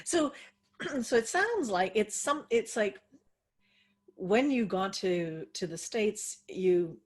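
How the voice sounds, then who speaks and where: Woman podcast host, husky and breathy, hesitant and gently probing. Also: medium pitch, lively intonation, slow pace. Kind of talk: interview conversation.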